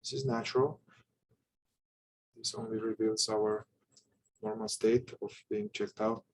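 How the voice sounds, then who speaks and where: A man guiding a meditation with calm instruction, speaking in unhurried, halting short phrases with long pauses. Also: lowish voice, slight background noise, low-quality recording. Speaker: meditation guide.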